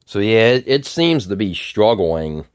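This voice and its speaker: Low-voiced, slightly raspy man. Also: big pitch swings, measured pace, no room sound, clean studio sound. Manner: rambling, many fillers and repeated words. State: a bit raspy from just waking up. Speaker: tech vlogger. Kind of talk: casual screen-recorded commentary.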